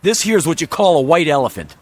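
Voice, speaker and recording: A man's voice: on the high side, expressive, fairly quick, slight background noise, lightly acted. An actor playing a slippery, folksy used-car salesman with an American accent, pushing glib, scornful patter in a radio drama. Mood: mocking, dismissive. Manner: glib, theatrical hard-sell patter.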